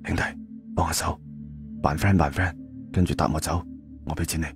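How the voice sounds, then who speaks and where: A man's voice: extremely low pitch, steady tone, a little fast, music in the background. A man voicing an evasive, wheedling young boyfriend in an audio story, hesitant and placating. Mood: chummy, scheming. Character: evasive, wheedling young man caught between two girlfriends.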